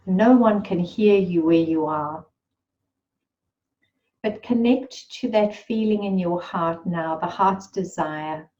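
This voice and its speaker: Slow, soothing woman guiding meditation. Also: mid-range voice, dark tone, lo-fi sound. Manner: slow, soothing and unhurried, with long pauses. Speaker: meditation guide. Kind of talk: guided meditation.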